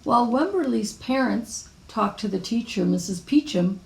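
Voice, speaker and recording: Female storybook reader, expressive, highly melodic, touch of creak, roomy sound. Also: normal speed. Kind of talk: expressive children's read-aloud. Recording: echoey room.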